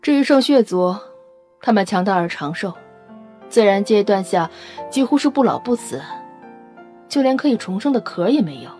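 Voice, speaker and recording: An actress playing a solemn, wistful half-blood alien woman in an audio drama, explaining things in a measured, serious way. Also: mid-range voice, very animated, dark tone, lightly acted. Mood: matter-of-fact lecturing. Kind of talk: Chinese audio drama acting. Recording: music under the voice. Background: background music.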